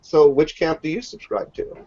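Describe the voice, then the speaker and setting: A man's voice: a little high, normal speed, vocal fry, warm tone, slightly rough. A man hosting an online hangout, asking his guest friendly questions in casual talk. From California. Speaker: hangout host. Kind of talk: casual chat. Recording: lo-fi online call audio.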